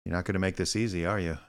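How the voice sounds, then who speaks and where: Deep-voiced, wry older detective. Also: male voice, clear, resonant tone. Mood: gently awkward. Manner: dry, sardonic. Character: aging wry small-town private eye, gruff but kindly. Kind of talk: radio drama acting with narration.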